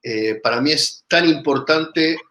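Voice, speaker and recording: A man's voice: fairly high pitch, unhurried, pushed and bright, echoey room. A man answering as a guest author in an interview, hesitating with many fillers.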